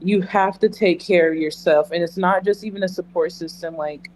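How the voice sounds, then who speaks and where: A man's voice: high-pitched, steady tone, normal speed, a little hiss or hum, poor audio. A man speaking candidly and emphatically as a guest in a conversational interview, repeating phrases for emphasis. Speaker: interview guest, emergency dispatcher. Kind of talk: earnest conversational interview answers.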